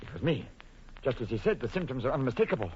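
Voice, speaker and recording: A man's voice: medium pitch, big pitch swings, fast, darkish tone, husky. An actor playing an anguished trapped man who dreads madness, earnest and melodramatic in an old-time radio horror play. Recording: muffled old radio broadcast, hissy.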